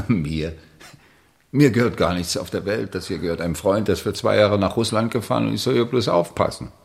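A man's voice: fairly low pitch, fairly quick, creaky, darkish tone. A man playing a carefree, self-assured bohemian seducer in a German radio play, teasing and familiar. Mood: breezy, flirting.

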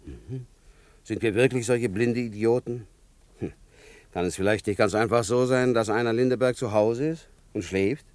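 A man's voice: medium pitch, normal speed, a little mellow, no room sound, lightly acted. A man playing a good-humoured, indulgent policeman in a children's radio play, teasing with mock-solemn irony. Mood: ironic, indulgent.

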